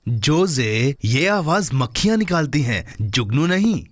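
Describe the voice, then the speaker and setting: A man voicing a kindly, encouraging companion to a young boy in a children's cartoon dub, reassuring and expressive. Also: a little high, a little slow, no echo. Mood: surprised.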